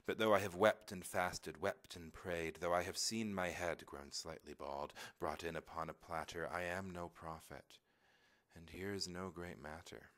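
Very deep-voiced man reciting poetry. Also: a bit bright. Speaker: poetry reader.

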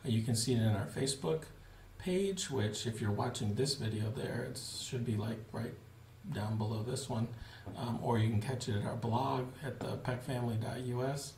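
A man in his forties giving a relaxed, plain-spoken video update to supporters from a small home office, with frequent fillers. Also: mid-range voice, moderate pace, creaky, a little hiss or hum. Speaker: missionary giving a family update. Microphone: webcam at desk. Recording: roomy webcam audio with faint ambience.

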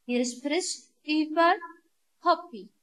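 A woman teaching German to beginners over slides, slowly repeating each simple phrase. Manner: slow, repeating each phrase, lively sing-song pitch. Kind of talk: slow beginner language lesson over slides.

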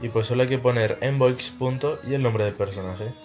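A man's voice: mid-range voice, fairly quick, a little mellow, lo-fi sound. A young man with an accent from Spain, presenting a casual video game tutorial as host over background music.

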